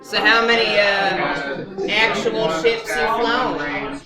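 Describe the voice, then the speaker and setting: Slow, high-voiced woman, blunt and sardonic. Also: expressive. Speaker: player in a tabletop roleplaying game. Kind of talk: describing and acting a character at a game. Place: large hall at a gaming convention. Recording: live hall with clear crowd noise.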